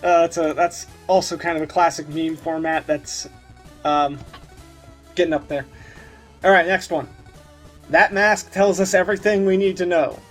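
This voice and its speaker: A man with a high-pitched voice gives casual, off-the-cuff reaction commentary as a channel host. His manner is giggly and informal, with some swearing, and music plays faintly behind him. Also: steady tone, normal speed, long pauses, a bit bright. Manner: giggly, often laughing as he talks. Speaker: host of a meme review channel.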